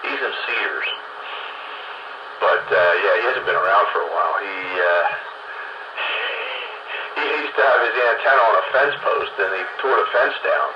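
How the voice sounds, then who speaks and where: A man chatting casually over CB radio in a rambling, halting way, often repeating words. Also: fairly low pitch. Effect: CB radio transmission. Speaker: amateur CB radio operator. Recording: dull lo-fi radio, loud static noise.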